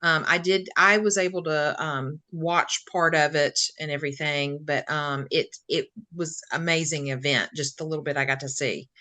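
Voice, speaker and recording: A woman's voice: sits low, steady tone, moderate pace, few pauses, bright, forceful tone. An enthusiastic, informal woman hosting a casual conversational interview. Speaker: interviewer, show host.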